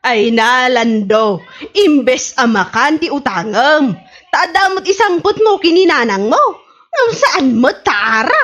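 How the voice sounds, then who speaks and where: Woman as a shrill, animated, exasperated shopkeeper. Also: takes it slowly, muffled recording, full character voice. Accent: Ilocano. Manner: scolding, incredulous exclamations. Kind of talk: Ilocano radio comedy drama acting.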